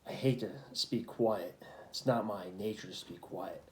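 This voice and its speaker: A young man in his twenties telling a casual story to camera, keeping his voice low at night because others in the house are asleep, talking in slangy, swearing speech. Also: a little slow, slight background noise. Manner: kept low and hushed, as others in the house sleep. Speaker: vlogger.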